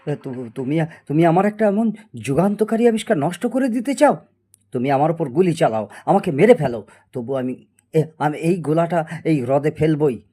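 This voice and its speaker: A man's voice: on the high side, expressive, normal speed, dark tone. A man acting a proud, obsessive middle-aged scientist in a Bengali audio story, theatrical and prone to stammer when agitated. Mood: defiant, desperate. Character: proud obsessive male scientist, boastful and stubborn.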